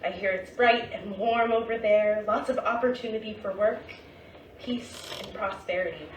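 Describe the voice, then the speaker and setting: A woman playing a devoted young woman from the 1920s, hopeful as she delivers a letter monologue on stage. Her mid-pitched voice sounds distant in a roomy space with faint noise. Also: normal speed, close to an everyday voice. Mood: tender, hopeful.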